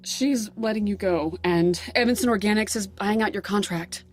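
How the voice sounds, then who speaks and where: A woman's voice: medium pitch, moderate pace, faint music behind, lightly acted. An American actress playing an anxious, protective mother in an audio drama, speaking fretfully and emphatically in a naturalistic style. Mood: hesitant, apologetic. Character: anxious, protective, indignant mother of a teenage daughter.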